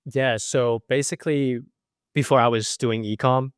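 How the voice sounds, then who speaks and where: A man in his twenties from Macau, a podcast guest telling his story in a relaxed way with lots of fillers. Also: mid-range voice, unhurried, clean tone, no room sound, studio quality. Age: 20s. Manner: relaxed, many fillers like you know. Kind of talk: casual interview.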